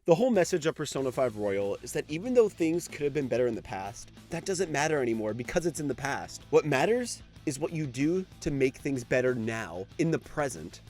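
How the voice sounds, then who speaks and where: A man's voice: mid-range voice, very animated, fairly quick, hardly any pauses, a little mellow, soft background music. A man giving scripted but conversational video commentary on an anime, with an informal, emphatic way of talking. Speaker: video essayist.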